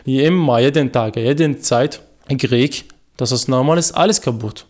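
Young Iraqi man in halting German. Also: mid-range voice, a little mellow. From Iraq. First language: Arabic. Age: 20s. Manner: halting learner's German with many fillers and restarts. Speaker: guest, former journalist. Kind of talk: radio interview.

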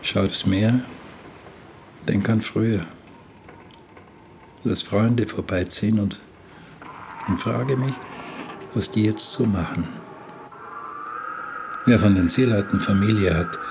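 A man's voice: lowish voice, takes it slowly, creaky, long pauses, background noise. A man playing a lonely, weary seaman who narrates life aboard ship in a sombre radio play. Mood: melancholy.

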